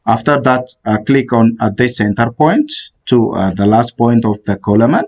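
A man narrating a screen-recorded software tutorial, giving step-by-step instructions in a halting way with many "uh" fillers. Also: mid-range voice, expressive.

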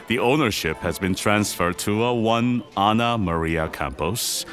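Older male chaebol patriarch, deep voice, formal, measured, composed, audio drama. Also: fairly bright tone. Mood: composed, gracious. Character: powerful, composed older chaebol businessman and father. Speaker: actor in a podcast drama.

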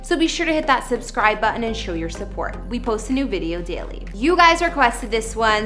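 A young woman with a slightly high voice hosts a celebrity house tour in a bright, chatty presenter manner. She reads a polished script in a studio. Soft music plays faintly underneath her. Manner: upbeat, chatty presenter delivery. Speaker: host. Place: studio against a plain backdrop. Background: faint background music.

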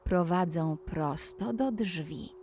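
A woman narrating a scripted children's bedtime story, speaking deliberately and addressing the young listener directly. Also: on the low side, darkish tone, slight background noise. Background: music.

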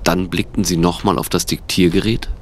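A man's voice: deep voice, fairly even intonation, brisk. A man reading scripted narration for an audio drama.

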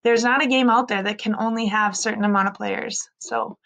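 A woman in her thirties reading a children's story aloud like a teacher, expressively, with dramatic drawn-out emphasis on key words. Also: on the high side, steady tone, gravelly, fairly bright tone. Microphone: computer mic on a screen-share video recording.

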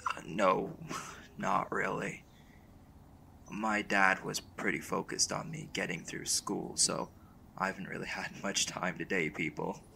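A man performs a young, lovestruck male college mage for a boyfriend roleplay. His voice is low and heavily fried, and he talks slowly in halting, casual phrases, earnest and a little unsure of himself. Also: little pitch movement, creaky, bright, forceful tone, a little hiss or hum, full character voice, poor audio. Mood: shy, confessional. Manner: hesitant, halting, casual slangy delivery. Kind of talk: intimate boyfriend audio roleplay.

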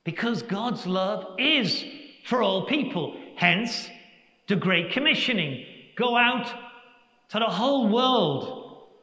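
An older man, a priest giving a Sunday homily in a large church, preaching slowly and reflectively with emphatic pauses. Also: high-pitched, lively intonation, pushed and bright. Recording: echoey church with long reverb.

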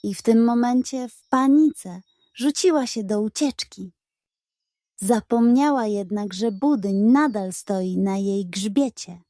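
A woman narrating a scripted children's bedtime story with expressive, deliberate phrasing. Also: medium pitch, no room sound, studio quality.

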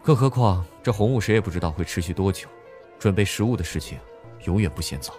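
A man plays a pragmatic, studious young man in an apocalypse audio drama. His voice is low and he talks quickly, reasoning matter-of-factly, with faint music behind him. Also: a little mellow, close to an everyday voice. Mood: cautionary. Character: level-headed studious male student Liu Tao, pragmatic survivor.

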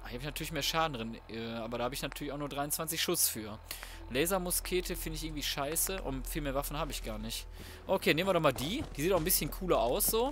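A man's voice: fairly high pitch, a bit bright, a little hiss or hum. A man giving casual, slangy and crude commentary on a video game, talking to himself as he plays. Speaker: let's play gamer.

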